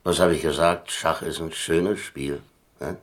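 Deep-voiced, wry old-school adventurer. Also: male voice, steady tone, measured pace. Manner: colloquial, dry, musing. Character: laconic, wry German ex-prisoner and adventurer telling his story. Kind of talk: radio drama acting and first-person narration.

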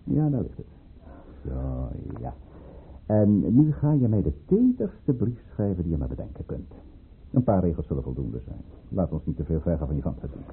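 A man's voice: sits low, big pitch swings, normal speed, a little hiss or hum. A man acting in a Dutch radio drama as a scheming governor at the tsarist court, speaking in a formal, coldly commanding way. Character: scheming, menacing male governor and aristocratic lover at tsarist court. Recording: muffled, tinny old radio play recording.

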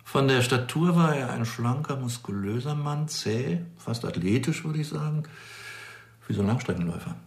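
An actor playing a chatty forensic pathologist in a radio crime drama, casual, dryly joking and philosophical. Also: male voice, mid-range voice, lively intonation, moderate pace, gravelly. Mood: musing.

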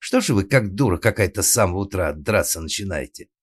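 A man's voice: deep voice, expressive, brisk, breathy edge, dry recording. A man voicing an indignant young maidservant who talks back in a defiant, colloquial, grumbling way in a comic story.

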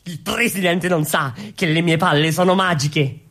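A man's voice: a little high, fairly even intonation, moderate pace, fairly bright tone. A man playing a hapless, earnest, clownish revolutionary in theatrical comic radio storytelling, exclamatory and repetitive. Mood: dismayed, pleading. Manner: exclamatory, repetitive, pleading with the listeners. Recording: music under the voice.

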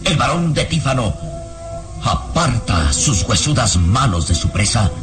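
Guy narrating old style radio drama, suspenseful, mid voice, music loud behind him. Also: medium pitch, big pitch swings. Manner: suspenseful, theatrical, measured.

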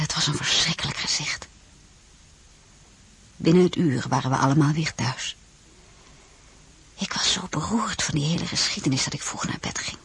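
An actress playing a reflective marshal's wife, narrating her own story in a husky, measured, wistful voice. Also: on the low side, no room sound. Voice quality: husky, breathy. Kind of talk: first-person dramatised narration.

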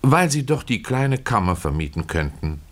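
A man's voice: on the low side, big pitch swings, normal speed. A man voicing a blunt, stubborn female cook and housekeeper who speaks insistently in a literary story. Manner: insistent, emphatic.

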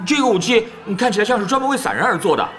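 An actor playing a hyper, talkative young male star gamer in an audio drama, chattering in rapid bursts. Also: sits high, very animated, fairly bright tone, faint music behind. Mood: rapid explaining.

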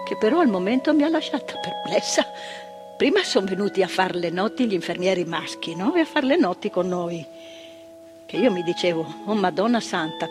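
An older actress playing a frank, earnest former psychiatric nurse in a stage monologue. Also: female voice, mid-range voice, natural voice. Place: theatre stage.

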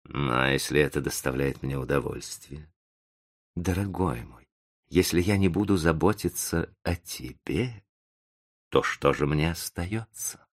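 Man voicing an emotional, slow old professor. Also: extremely low pitch, heavily acted. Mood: tender, coaxing. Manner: halting, plaintive, rhetorical questions. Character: kindly, wounded old professor, seventy, emotional.

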